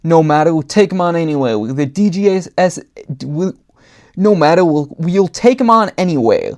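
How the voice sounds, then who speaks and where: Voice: medium pitch, lively intonation, normal speed, darkish tone, natural voice. A storyteller voicing a frantic, desperate male monster-hunting agent in a comic horror story. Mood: cocky.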